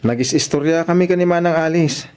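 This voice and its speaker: An actor with a northern Philippine accent playing a polite, bashful young son in a radio drama, talking in a shy, conversational way. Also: male voice, fairly high pitch, fairly quick, a little mellow, clear, resonant tone, lightly acted. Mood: easygoing.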